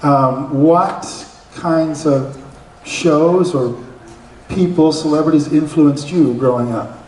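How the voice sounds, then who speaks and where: A man's voice: fairly high pitch, slow pace, darkish tone, slight background noise. A man conducting a casual interview, asking short questions and reacting with easy laughs. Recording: echoey convention room, mic at a distance.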